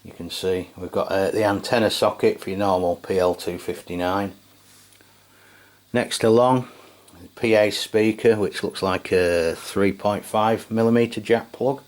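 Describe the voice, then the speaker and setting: A man with a distinctly low-pitched voice presents a hands-on review. He sounds like a channel host talking off the cuff, low-key and somewhat hesitant as he describes things piece by piece. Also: steady tone, measured pace. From England. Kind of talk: casual hands-on product review.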